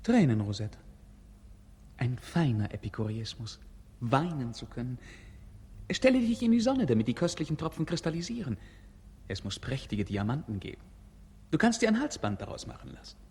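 An actor playing a bored, capricious young prince in a 1950s German radio play, theatrical, poetic and mocking. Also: male voice, medium pitch, big pitch swings, normal speed, pauses often, a little mellow, slightly rough. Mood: mocking, melancholy.

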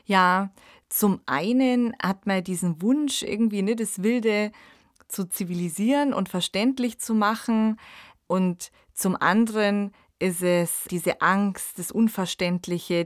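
Female podcast presenter: unhurried, measured delivery, mid pitch, very little room sound. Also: no echo, studio quality. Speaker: podcast host.

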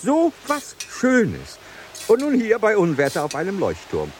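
Ein Schauspieler spielt im Hörspiel einen verliebten Seekapitän, der zärtlich, verträumt und stockend spricht. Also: male voice, high-pitched, wildly swooping pitch, a little slow, a little hiss or hum, full character voice. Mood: wistful. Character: love-struck sea captain, twenty years at sea. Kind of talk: radio drama acting.

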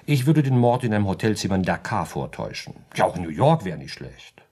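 An actor playing an urbane, pedantic lawyer in a radio play, reasoning coolly and politely in a precise, lecturing manner. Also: male voice, low-pitched, big pitch swings, quick pace, darkish tone, slightly rough, full character voice. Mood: smug, reasoning. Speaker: lawyer giving legal advice. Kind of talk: German radio play dialogue.

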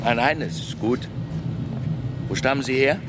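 An actor playing a calm, laconic middle-aged flight instructor, speaking in a dry, matter-of-fact way. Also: male voice, medium pitch, measured pace, noisy background, close to an everyday voice.

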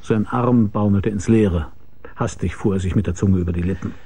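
Hard-boiled detective, dark husky voice, mid pitch, wry and laconic, radio crime drama narration. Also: male voice, moderate pace. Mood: brooding, wry. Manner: laconic, dry, probing. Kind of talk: German radio crime drama, first-person narration and dialogue.

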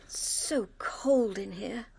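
A woman's voice: mid-range voice, takes it slowly, creaky, background noise. An actress playing a discontented, jealous young woman in a radio drama, with a British accent and a petulant manner. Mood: irritated. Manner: petulant, emotional.

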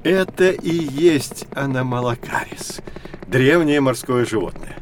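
A man's voice: medium pitch, unhurried, a little hiss or hum, close to an everyday voice. A man playing a kindly, knowledgeable professor, patiently explaining things in a children's educational audio drama. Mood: intrigued. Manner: patient, didactic.

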